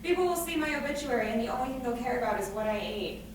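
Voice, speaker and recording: A woman's voice: a little high, lively intonation, fast, lightly acted. Echoey, anxious young woman played by an actress onstage. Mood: subdued, anguished. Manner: emphatic, rambling, catastrophizing. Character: anxious young woman, dramatic, stubborn, afraid to leave her room. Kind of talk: staged reading of a stage play. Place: live staged reading in a reverberant room. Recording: echoey room from far off, noisy.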